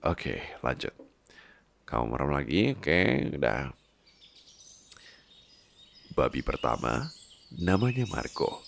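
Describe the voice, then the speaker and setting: A man's voice: extremely low pitch, very animated, slow, creaky, pauses often. A man in an intimate ASMR roleplay, talking and telling stories in a playful, coaxing, colloquial way. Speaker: voice roleplay performer as doting husband.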